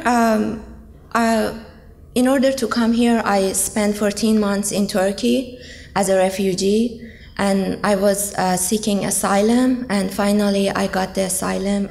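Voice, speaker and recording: Slow, gravelly-voiced young woman from Iran. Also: medium pitch, darkish tone. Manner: earnest, hesitant, many ums. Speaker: student speaker. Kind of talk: personal testimony speech. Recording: echoey hall, long reverb.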